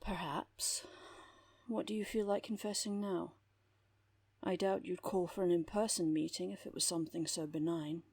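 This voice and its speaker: Woman acting a low, husky, composed underworld therapist. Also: steady tone, darkish tone, no room sound. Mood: dry amusement. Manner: measured, dry, formal wording. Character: composed, wry female underworld therapist who makes people disappear. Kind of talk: audio roleplay drama acting.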